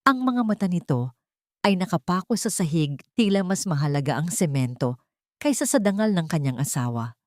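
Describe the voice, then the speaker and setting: A Filipino woman narrates a dramatic late-night story in a fairly low voice. The sound is close and dry, with a scripted storytelling delivery. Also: animated, normal speed, dry recording.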